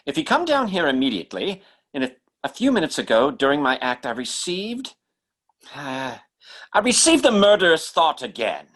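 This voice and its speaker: A man plays an earnest male mind reader from an old radio mystery. He speaks in halting bursts, with extremely animated swings of pitch. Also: exaggerated voice. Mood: urgent. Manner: halting, stammering. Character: earnest male stage psychic turned urgent helper. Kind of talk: old-time radio drama acting.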